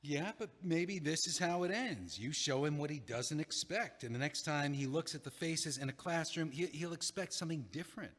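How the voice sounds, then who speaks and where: A man playing an earnest, easily flustered, loyal young friend in a naturalistic comedic audio play, speaking haltingly. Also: on the high side, animated, fairly bright tone. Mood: earnest, encouraging. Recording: echoey hallway-like reverb.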